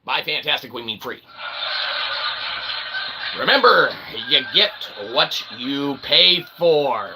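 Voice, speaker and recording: A sarcastic American man with a fairly high voice, wandering through a comedic rant with constant asides. The recording carries a clear layer of background noise. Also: lively intonation, takes it slowly, long pauses. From United States. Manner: sarcastic, rambling, full of fillers and asides. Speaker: channel host. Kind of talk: rambling comedic monologue.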